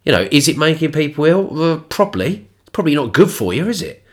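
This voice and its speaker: A man's voice: big pitch swings, quick pace. A man with a blocked, nasal voice from a cold, co-hosting a casual podcast in a sweary, mocking way. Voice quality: nasal, stuffy. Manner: sweary, mocking, jumps into high mimicking voices. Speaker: podcast co-host. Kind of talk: casual conspiratorial chat.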